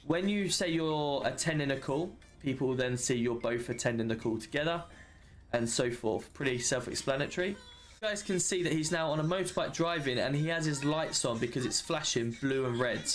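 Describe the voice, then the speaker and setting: A man's voice: medium pitch, a little fast. A man giving an informal, matter-of-fact walkthrough of a game system, explaining it step by step as a tutorial. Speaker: roleplay server member giving a tutorial.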